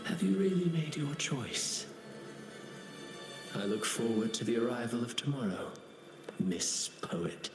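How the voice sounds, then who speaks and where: A menacing male game antagonist, taunts in formal, theatrical phrases. The delivery is slow and deliberate at a middle pitch. It rings with echo over clearly audible music. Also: big pitch swings. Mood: quietly menacing. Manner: measured, ominous, theatrical, formal. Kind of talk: dramatic video game voice acting. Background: clear background music.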